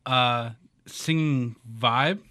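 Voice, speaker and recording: A man's voice: mid-range voice, drawn-out words, sharp tone. A man co-hosting a podcast, talking animatedly about hip hop, often stumbling and repeating words as he makes his point. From Canada. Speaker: podcast co-host.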